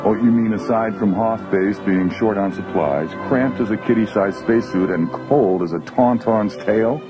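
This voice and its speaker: A man's voice: on the low side, moderate pace, natural voice. An actor playing a cocky, grumbling male smuggler pilot with a sardonic manner in a 1980s audio drama. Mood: grumbling, sarcastic. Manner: wry, sardonic. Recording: loud music behind, radio drama production.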